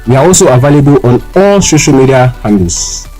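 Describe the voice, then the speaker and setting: A man's voice: mid-range voice, expressive, brisk, warm tone, natural voice, rough recording. A man with a Nigerian accent speaking as a company spokesman in a scripted, formal radio promotion. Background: music.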